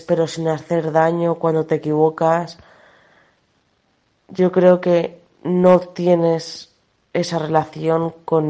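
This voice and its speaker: A woman giving an earnest personal reflection in an unhurried way. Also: deep voice, dark tone.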